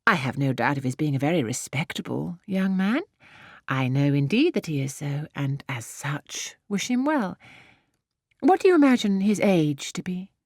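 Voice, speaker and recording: Woman as snobbish young upper-class English lady, audiobook: low, measured, self-assured, dry close recording. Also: big pitch swings, dry recording, exaggerated voice. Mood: cool, condescending. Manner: measured, condescending, poised. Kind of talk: classic novel audiobook character voice.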